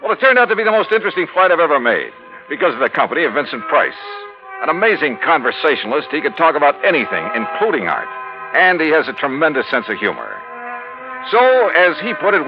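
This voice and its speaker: An actor playing a wry American insurance investigator with an American accent, brisk and colloquial, in an old-time radio detective show. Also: male voice, fairly high pitch, very animated. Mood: wry narration. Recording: dull vintage radio recording. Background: music.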